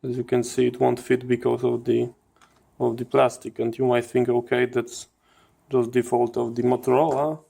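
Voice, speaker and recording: Man, electronics teardown host, flat intonation, gravelly creak, halting delivery. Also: medium pitch. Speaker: host of a radio electronics channel. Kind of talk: hands-on teardown commentary.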